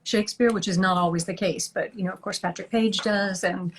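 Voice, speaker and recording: A woman's voice: medium pitch, steady tone, a little fast, slight background noise. A middle-aged woman, a guest actress, chatting casually in an interview over a video call and laughing lightly between thoughts.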